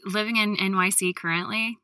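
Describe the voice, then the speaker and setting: A woman's voice: medium pitch, steady tone, brisk, bright, forceful tone, no room sound, clean studio sound. A woman hosting a parenting podcast, reading her intro, then interviewing a guest over a video call. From Ohio, USA. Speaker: podcast host.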